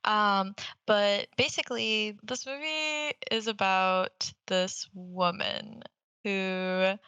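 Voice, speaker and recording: A woman's voice: medium pitch, slow, pushed and bright, smooth, no room sound. A woman co-hosting a podcast, casually rambling through a movie review in informal language. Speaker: podcast co-host.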